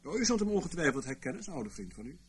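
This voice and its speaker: A man's voice: on the high side, very animated, rapid-fire, crowd noise. A man playing a politely needling, ironic interrogator who is in charge, in a Dutch radio play. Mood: smoothly in control. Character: probing, sardonic male interrogator, politely in charge. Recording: noisy old radio play recording.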